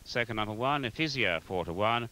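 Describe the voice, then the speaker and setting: A man reading out horse racing results in a formal, measured, scripted manner. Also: on the low side, lively intonation, sharp tone.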